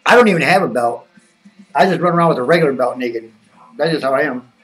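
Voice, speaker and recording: A man hosts a casual live stream, rambling and joking as he thinks out loud. His voice sits somewhat high for a man. He sounds a little distant from the microphone, as if he were sitting back from it. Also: animated, quick pace, soft background music. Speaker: live-stream wrestling reaction host. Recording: voice a bit distant from the mic.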